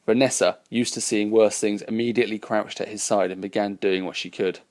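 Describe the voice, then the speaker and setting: A man reading a fan-written science fiction story aloud as its narrator, with an even, unhurried delivery. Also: on the low side, no room sound. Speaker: reader and narrator.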